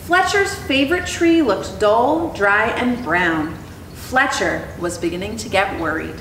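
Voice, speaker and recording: A young woman reading a children's storybook aloud in an expressive, educator's style. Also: medium pitch, measured pace, slight background noise. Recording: echoey room, clear background ambience.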